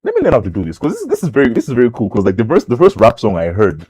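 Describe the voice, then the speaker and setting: A man's voice: medium pitch, wildly swooping pitch, racing, mellow, slightly rough. A young man from Zambia, a rapper guest on a talk show, rambling casually with lots of fillers and restarts.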